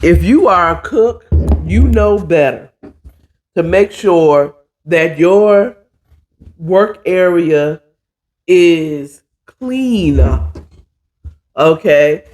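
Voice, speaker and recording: A hoarse, stuffy-nosed man hosting a casual chat while cooking, drawling and calling his listeners "child". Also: high-pitched, expressive, very slow, long pauses, darkish tone. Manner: chatty, drawling, says "child" a lot. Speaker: podcast host.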